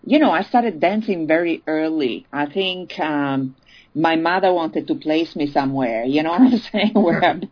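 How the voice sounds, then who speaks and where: A woman's voice: low-pitched, lively intonation, moderate pace, highs cut off. A woman from Italy, chatting as an interview guest and laughing often. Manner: chatty, laughs often, many "you know"s. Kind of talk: casual interview.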